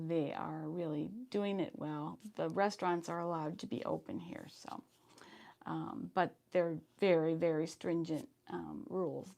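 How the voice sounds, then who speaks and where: Hoarse, gravelly sewing vlogger woman. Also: on the low side, a little mellow. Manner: chatty, reads viewer comments aloud. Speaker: sewing channel host. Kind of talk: casual live-stream chat.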